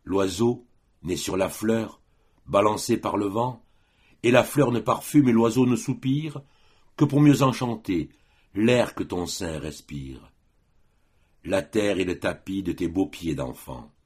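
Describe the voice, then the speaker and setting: Male audiobook reader for solemn verse: low-pitched, rough-edged voice, measured declamation with room between phrases. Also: a little mellow. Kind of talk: solemn poetry recitation.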